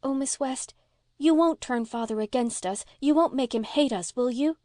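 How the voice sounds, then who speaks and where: An earnest little girl voiced by a woman audiobook reader, high-pitched and sweetly anxious. She pleads in a breathless, halting way, as in an old-fashioned storybook. The sound is dry and close. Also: darkish tone, dry recording, lightly acted. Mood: anxious pleading. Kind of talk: audiobook character voice.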